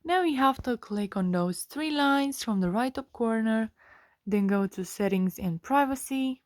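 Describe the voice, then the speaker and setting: Slow, high-voiced woman giving a tutorial. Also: lively intonation, darkish tone, dry recording, clean studio sound. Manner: instructional, step by step. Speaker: tutorial host. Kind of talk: scripted how-to narration for a phone screen tutorial.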